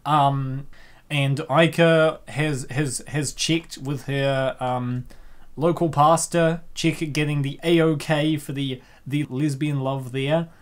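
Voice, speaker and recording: A young adult hosting a comic dub with casual reaction commentary, excitable, rambling and full of slang. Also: mid-range voice, slow pace.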